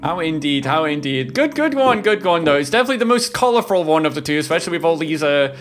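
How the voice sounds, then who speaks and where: Man in his thirties, streamer, higher-pitched voice, very lively intonation, repetitive exclamatory reaction commentary. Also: fast. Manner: repeats phrases, exclamatory. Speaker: reaction video host, streamer. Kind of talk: casual excited reaction commentary. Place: indoor home streaming room. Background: music from the trailers being watched.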